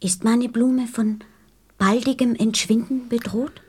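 A woman's voice: a little high, steady tone, slow, darkish tone, slightly rough. A woman playing a curious, innocent little boy prince in a radio play, earnest and questioning.

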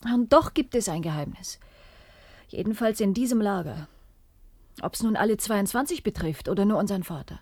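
An actress plays a bitter, uneasy daughter in a radio drama. She speaks in a medium-pitched woman's voice, brooding and drily sardonic, in a very dry studio sound. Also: animated, moderate pace, a little mellow, no room sound, natural voice. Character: sceptical, bitter, uneasy adult daughter of an internee. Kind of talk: radio play dialogue.

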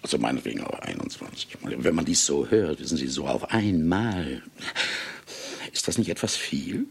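An actor in a German radio play, playing an urbane, self-satisfied famous writer with an ironic, nonchalant and condescending manner. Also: male voice, extremely low pitch, animated, moderate pace, slight background noise, heavily acted. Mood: feigned surprise. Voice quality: gravelly.

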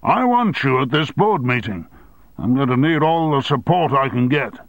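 A man's voice: on the low side, big pitch swings, normal speed, slightly rough, dry recording, heavily acted. A man voicing a blustering, domineering male media tycoon who bellows his orders. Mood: bullying. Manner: bellowing, brusque.

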